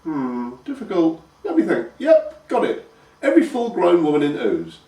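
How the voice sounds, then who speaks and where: A man's voice: high voice, wildly swooping pitch, moderate pace, dark tone, voice pushed up. A man doing a dry, put-upon teenage peasant lad, echoey room, heard from a distance. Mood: weary. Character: mild, long-suffering teenage peasant boy.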